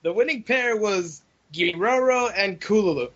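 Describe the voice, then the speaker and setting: A male podcast co-host with a high voice that swings widely in pitch and has a raspy grain. He talks casually and jokingly with friends, swearing now and then. The audio is slightly muffled, like an online voice chat. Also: moderate pace. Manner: jokey, animated, swears casually. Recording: dull lo-fi voice chat.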